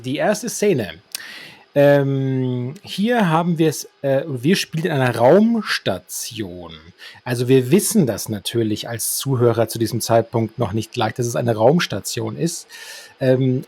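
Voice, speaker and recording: A man's voice: medium pitch, a little mellow, breathy edge. A man co-hosting a podcast, talking in a playfully formal, wordy way as he discusses a radio drama. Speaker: podcast co-host. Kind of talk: semi-scripted radio drama discussion with free commentary.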